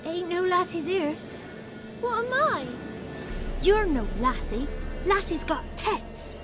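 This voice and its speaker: Very high-voiced cheeky peasant child. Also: female voice, very animated, slow, full character voice. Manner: blunt, rustic ungrammatical talk. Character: cheeky, blunt peasant orphan child in a swamp. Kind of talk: video game character acting. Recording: music behind the voice.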